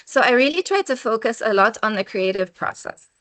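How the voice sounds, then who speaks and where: A woman from Lebanon, an educator and researcher, answering interview questions in a lively conversational way and sometimes acting out her students. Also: on the high side, brisk, no room sound. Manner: animated, acts out students' voices.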